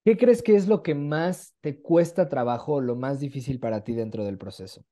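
A man's voice: mid-range voice, moderate pace, mellow. A man in his twenties with a Mexican accent, interviewing casually over a video call in an encouraging, conversational way. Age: 20s. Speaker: interviewer, host. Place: small room at home, indoors. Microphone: video call with wired earphones.

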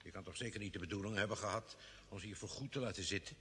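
An actor playing a thoughtful, cooperative doctor on a space crew in a vintage Dutch radio drama. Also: male voice, fairly low pitch, fast talker, pushed and bright. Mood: pondering, uneasy. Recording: old radio recording, long hollow reverb.

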